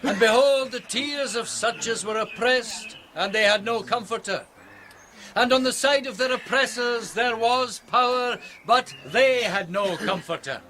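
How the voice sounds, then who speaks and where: A man's voice: very high voice, measured pace, bright, forceful tone, slight background noise, heavily acted. A man playing an earnest young minister preaching a declamatory open-air sermon in a radio drama. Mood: fervent preaching.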